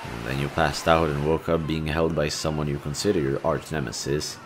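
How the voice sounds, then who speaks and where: Low-voiced, kind, bashful young man acted by a man. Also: steady tone, fairly quick, background noise. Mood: curious, sympathetic. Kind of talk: audio roleplay speaking softly to the listener.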